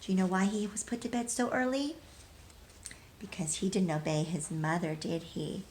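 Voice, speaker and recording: A woman's voice: mid-range voice, moderate pace, darkish tone, a little hiss or hum. A woman teacher reading a storybook aloud to children, speaking to them directly and expressively, with emphasis on key words. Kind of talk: children's storybook read-aloud with questions to young listeners.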